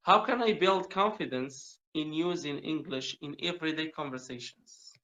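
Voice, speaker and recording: A man with a Moroccan accent speaks in a casual interview manner. He is halting as he searches for words in English, and the audio is muffled, like an internet call. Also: a little high, bright, forceful tone. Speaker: English learner asking interview questions. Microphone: online video call.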